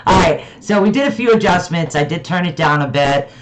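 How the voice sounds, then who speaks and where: A soft-spoken man hosting a radio call-in show and giving a psychic reading in a reassuring way, with frequent ums. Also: on the high side, lively intonation. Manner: reassuring, fills pauses with um.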